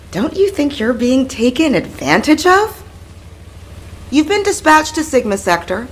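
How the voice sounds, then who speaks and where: A woman voicing a spirited, blunt and protective young comrade in a video game, playful and frank. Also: sits high.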